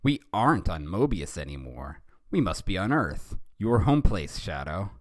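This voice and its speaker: A man's voice: sits low, big pitch swings, normal speed, dry recording, clean studio sound. A man voicing a cocky young male hedgehog hero in a fan story he reads aloud, with an eager, upbeat manner.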